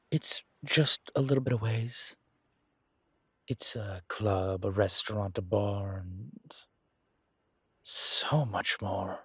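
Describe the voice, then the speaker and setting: A flamboyant older charmer, acted by a man, purrs in a husky, slightly low voice. He lingers on his words and teases like a smooth club owner in a roleplay drama. The sound is dry and close. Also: fairly bright tone, dry recording, full character voice. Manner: purring, theatrical, teasing, drawn-out words.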